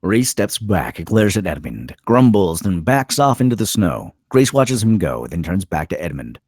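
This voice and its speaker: A man's voice: low-pitched, very animated, moderate pace, darkish tone, no room sound, clean studio sound. A man reading scripted stage directions as the narrator of a screenplay table read.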